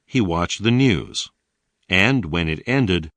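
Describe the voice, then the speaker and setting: A man with a deep voice narrates a language lesson. His delivery is slow and deliberate, with animated intonation, and the sound is close and dry. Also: no echo. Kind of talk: scripted narration, read-along language lesson.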